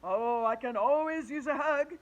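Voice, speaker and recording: A woman reading an audiobook voices a jolly male teacher who claims to be a superhero, speaking slowly and theatrically. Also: dull audio. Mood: cheerful. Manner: slow, booming and theatrical. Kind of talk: audiobook character voice.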